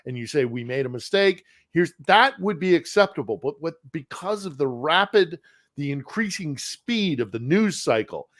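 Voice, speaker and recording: A man's voice: fairly high pitch, animated, moderate pace, fairly bright tone, no room sound, studio quality. A man speaking as a guest correspondent from Ukraine in a casual live-stream conversation, earnest and often repeating words while he searches for them.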